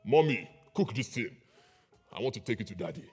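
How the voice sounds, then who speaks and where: A man's voice: fairly low pitch, sing-song, fairly quick, darkish tone, slightly rough, soft background music, pitched below the performer's own voice, heavily acted. A man voicing a burly, confident young hunter son in a told folk story, blunt and swaggering.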